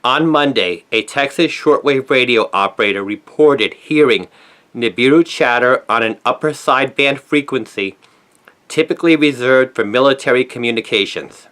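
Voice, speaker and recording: A man's voice: medium pitch, moderate pace, fairly bright tone. A man narrates a news story, reading from a written script in a scripted style. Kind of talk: scripted narration, read aloud.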